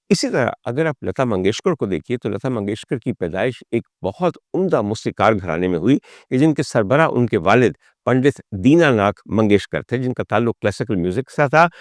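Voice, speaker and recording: A man hosting a talk, telling stories dramatically and rhetorically, with deliberate build-ups and repeated phrases. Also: lowish voice, dry recording, studio quality. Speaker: host and storyteller of a music history talk. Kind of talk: dramatic spoken storytelling to the audience.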